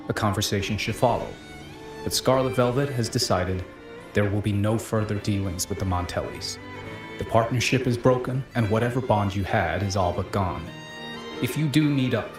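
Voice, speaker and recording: Man playing a low-voiced, cagey bartender informant. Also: lightly acted. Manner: measured, wry, cagey. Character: guarded, smooth-talking male bartender informant. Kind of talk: video game voice acting. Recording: roomy sound with music behind. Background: guitar music.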